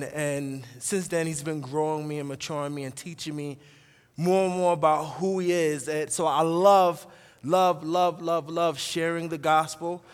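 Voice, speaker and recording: A man's voice: fairly high pitch, clear, resonant tone. A middle-aged man from New York with an American accent, preaching a sermon with emphatic repetition and conversational asides to the congregation. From New York, USA. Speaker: guest preacher, pastor. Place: large reverberant room, preaching to a congregation. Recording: long hall reverb.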